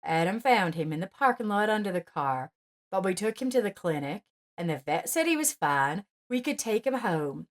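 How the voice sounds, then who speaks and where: A woman's voice: medium pitch, sing-song, normal speed, lightly acted. A woman doing a sly, critical mother-in-law. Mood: guilty.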